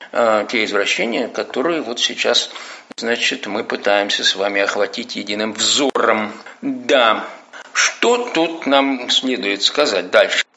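A man, a priest, speaking in an emphatic, lecturing way, leaning hard on slow, stressed words. He is heard over a phone line into a radio studio. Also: medium pitch, vocal fry, sharp tone. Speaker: priest guest. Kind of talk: sermon-like commentary.

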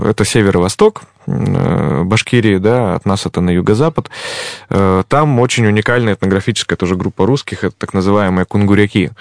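A man, an ethnologist guest in an interview, explaining at a measured pace with frequent hesitation sounds. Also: low voice, gravelly, dry recording, studio quality.

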